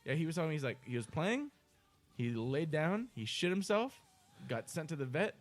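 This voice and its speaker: A man with a slightly higher-than-average voice co-hosts a casual podcast. He talks loosely and rambles from thought to thought, swearing freely as he goes. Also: very animated, moderate pace, faint music behind. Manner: rambling, profane. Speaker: podcast co-host. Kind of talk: casual uncensored podcast chat.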